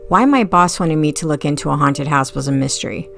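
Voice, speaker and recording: A woman's voice: low voice, animated, brisk, vocal fry, a bit bright, soft background music. A woman reading first-person fiction as an audiobook narrator, in a wry, conversational way.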